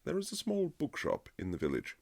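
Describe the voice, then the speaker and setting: A man playing a courtly Regency nobleman husband, tender and gently teasing, in a husky romantic roleplay with formal, old-fashioned phrasing. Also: wildly swooping pitch, brisk, exaggerated voice. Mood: warm, fond. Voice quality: very low, husky, gravelly. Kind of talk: romantic boyfriend ASMR roleplay, period drama.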